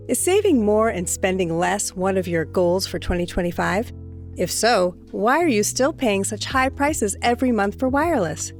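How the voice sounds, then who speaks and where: A woman hosting a show delivers a scripted sponsor message, addressing the listener directly with questions. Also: medium pitch. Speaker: podcast host reading sponsor ad. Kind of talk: scripted host-read advertisement.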